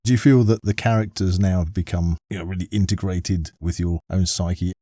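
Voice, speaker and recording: A man's voice: low-pitched, fairly even intonation, brisk, warm tone, no room sound, clean studio sound. A man hosting a podcast and chatting as he interviews a guest. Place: padded podcast studio.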